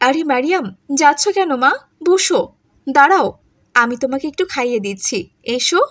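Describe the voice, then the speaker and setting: A woman voicing a kind, patient stepmother in an animated moral story, tender and coaxing. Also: sits high, moderate pace, dry recording.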